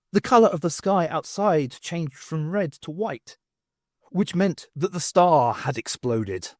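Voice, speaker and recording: A man's voice: mid-range voice, a little mellow, dry recording, studio quality. A man reading scripted fiction as an audiobook narrator, with a dramatic delivery. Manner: dramatic reading with acted dialogue. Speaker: audiobook reader.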